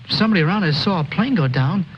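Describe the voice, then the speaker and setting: An actor playing an anxious, naive young soldier in an old war film, nervous and questioning. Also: male voice, high-pitched, expressive, rapid-fire. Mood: worried. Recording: muffled old film soundtrack, clearly noisy.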